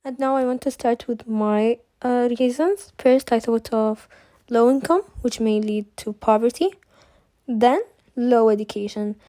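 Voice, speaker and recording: A woman's voice: on the high side, measured pace, dark tone, no echo. A young woman from Kuwait, a student presenting at a seminar, reading prepared remarks with small restarts.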